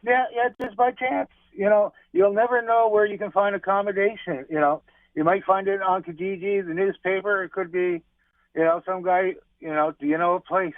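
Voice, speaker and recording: A man's voice: high voice, fairly even intonation, moderate pace, no echo. A man calling in to a radio show and rambling chattily, full of "you know". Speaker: caller. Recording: tinny phone line.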